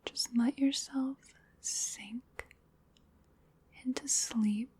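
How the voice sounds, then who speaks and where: Woman doing asmr hypnosis, super slow breathy whisper, soothing, kinda high voice. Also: fairly even intonation, darkish tone. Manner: soothing, unhurried, repetitive guided relaxation. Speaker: ASMR performer.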